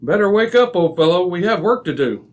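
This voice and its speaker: A man playing a commanding, impatient detective in an old-time radio drama, crisp and didactic. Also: high voice, big pitch swings, fairly quick. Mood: urgent, exasperated. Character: commanding, razor-sharp, impatient male detective.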